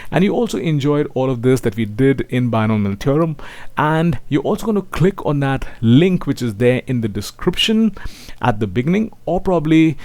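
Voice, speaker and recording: A man delivers an online maths lecture in a mid-pitched voice with a dry, close sound. He speaks straight to his students as a teacher would, often tagging his sentences with small checks for agreement. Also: darkish tone, no room sound. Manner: seeks agreement with frequent tag questions; addresses listeners as children.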